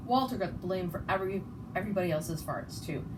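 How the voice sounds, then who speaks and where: A woman's voice: fairly low pitch, animated, fairly quick, far from the mic. A woman reading a children's story aloud expressively, sometimes stumbling and rereading words. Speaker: storybook reader. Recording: noticeable background noise.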